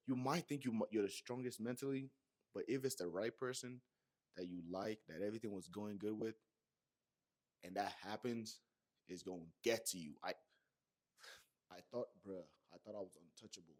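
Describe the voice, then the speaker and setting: A man's voice: lowish voice, moderate pace, pauses often. Casual young man with a Yoruba accent. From Nigeria. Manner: animated slangy storytelling, many fillers. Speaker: vlogger. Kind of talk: casual monologue to camera.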